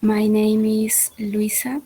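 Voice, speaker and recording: A woman in her thirties with a Peruvian Spanish accent, speaking soft, careful beginner English. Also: mid-range voice, level tone, slow pace, husky. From Peru. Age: 30s. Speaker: student.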